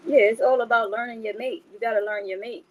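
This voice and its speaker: A woman from New York with a high, childlike baby voice who talks bluntly and with force. She is a podcast co-host in casual conversation. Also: steady tone, quick pace, phone-quality sound. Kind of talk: casual chat.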